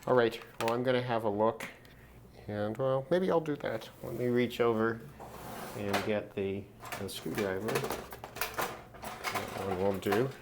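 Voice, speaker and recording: A man giving casual, unscripted commentary on a hands-on repair, halting and musing to himself as he works. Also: fairly low pitch. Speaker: host of a hobby radio repair video. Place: at a desk, indoors. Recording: clear background noise, handling clicks.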